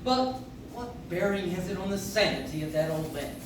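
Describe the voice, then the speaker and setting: An actor playing a pompous, indignant prosecuting attorney in a live radio play, recorded from the audience in a noisy, echoey room. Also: male voice, high voice, lively intonation, normal speed, distant mic. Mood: pompous, impatient. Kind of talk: live stage re-creation of old-time radio play.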